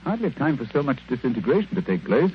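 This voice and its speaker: An actor playing a sharp, impatient and sardonic British detective in old radio drama style, theatrical and commanding. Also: male voice, medium pitch, sing-song, quick pace, a little hiss or hum, lightly acted. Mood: brisk, impatient. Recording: muffled vintage radio recording with music.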